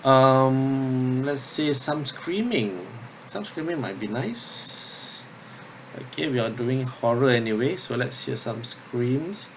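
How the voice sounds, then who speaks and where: Slow-paced man giving a halting software tutorial. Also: mid-range voice, expressive, a little hiss or hum. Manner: halting, thinking aloud, often checks with "okay" and "yeah". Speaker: drama workshop instructor. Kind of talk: unscripted software tutorial, talking through steps.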